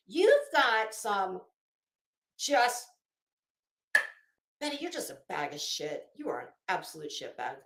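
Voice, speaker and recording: A high-voiced woman delivers a casual commentary rant at a very unhurried pace. She is blunt and profane, leaning hard on words and breaking off haltingly. The sound is roomy, with clear echo around her voice. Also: a bit bright. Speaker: online commentary host.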